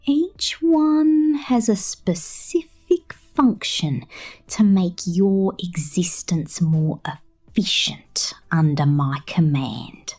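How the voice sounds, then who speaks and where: Woman voicing a slow, whispery, possessive robot mechanic. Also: fairly low pitch, animated, a little mellow, full character voice. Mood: coaxing, possessive. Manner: slow, teasing, cooing, intimate. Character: possessive, sinister robot mechanic, sweetly menacing captor. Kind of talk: ASMR roleplay, partly whispered.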